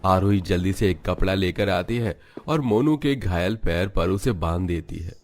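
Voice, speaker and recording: Deep-voiced male story narrator. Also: moderate pace, darkish tone. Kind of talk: scripted dramatic narration of a cartoon story.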